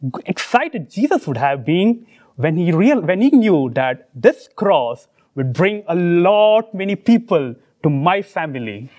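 High-voiced Indian man preaching. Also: big pitch swings, darkish tone. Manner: earnest, speaks in short phrases and pauses for the interpreter. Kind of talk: sermon with live interpretation.

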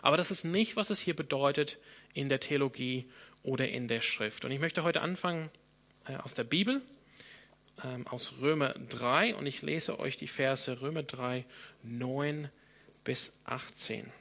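A man's voice: medium pitch, unhurried, fairly bright tone. A man in his thirties, a pastor from Australia whose first language is English, preaching a sermon in German with an Australian accent, often restarting and repeating words as he thinks aloud.

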